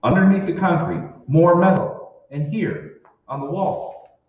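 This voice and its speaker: A man's voice: high voice, unhurried. A man playing a troubled, suspicious husband in an old-time radio drama, convinced his world is fake. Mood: agitated. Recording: echoey hall, distant mic, dull, faint noise.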